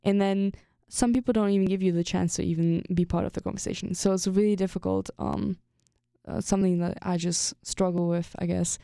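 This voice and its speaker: A woman's voice: on the low side, creaky, mellow, smooth, dry recording, clean studio sound. A young woman with a German accent, hosting a podcast and chatting openly, thinking aloud with lots of fillers. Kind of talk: casual conversation.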